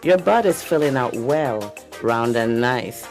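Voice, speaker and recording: A woman's voice: very deep voice, lively intonation, takes it slowly, a little mellow, faint music behind, exaggerated voice. A woman playing a domineering, leering middle-aged female pageant coach in a radio drama, pushy and scolding. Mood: leering, coaxing.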